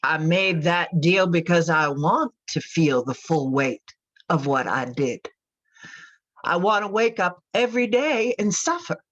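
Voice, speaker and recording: A woman acting in a radio drama as an anguished, guilt-ridden mother in prison, speaking in a halting, confessional way. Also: fairly low pitch, lively intonation, muffled recording. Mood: somber, resigned. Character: guilt-ridden imprisoned mother who killed her daughters.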